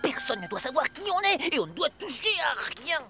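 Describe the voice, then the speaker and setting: A woman voicing a brainy, cautious young boy in an animated cartoon, earnest and easily alarmed. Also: high voice, fairly quick, music in the background.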